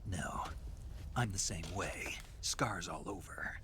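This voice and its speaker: An actor playing a gruff, guarded soldier in a video game cutscene, speaking in terse questions. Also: male voice, low voice, animated, slow pace, slight background noise.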